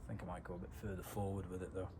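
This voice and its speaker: A man's voice: sits low, flat delivery, very fast, noisy background. A man giving a casual, matter-of-fact hands-on car tutorial, explaining things step by step in informal words. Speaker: DIY car mechanic vlogger.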